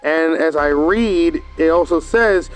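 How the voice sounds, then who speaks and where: A man's voice: a little high, slow pace, clean tone, faint music behind. A man giving a casual, rambling video review, recapping with hesitant fillers and restarts.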